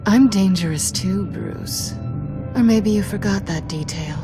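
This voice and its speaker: A woman's voice: mid-range voice, a little slow, over music, lightly acted. A woman playing a cool, self-assured female thief in a video game, with a dry, teasing, guarded way of talking. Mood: guarded, defiant. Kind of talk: naturalistic video game acting.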